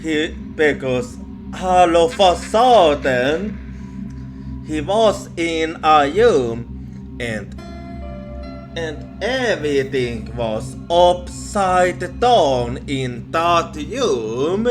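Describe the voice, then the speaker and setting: Slow-talking man telling an ASMR ghost story. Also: a little high, big pitch swings. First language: not English. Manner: halting, repeats words. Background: music.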